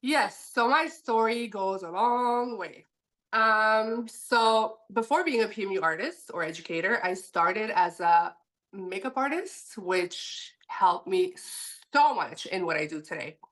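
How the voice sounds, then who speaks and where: A French Canadian woman, a native French speaker, chatting in a lively way as a podcast guest. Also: medium pitch. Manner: lively, chatty, laughs easily. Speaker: podcast guest, permanent makeup educator. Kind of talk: podcast interview.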